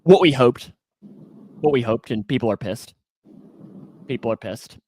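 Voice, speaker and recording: A man's voice: medium pitch, lively intonation, a little slow, warm tone, slightly rough, no echo. A man in his twenties hosting a casual sports talk show, speaking emphatically and repeating phrases to drive them home. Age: 20s. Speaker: sports show host and broadcaster.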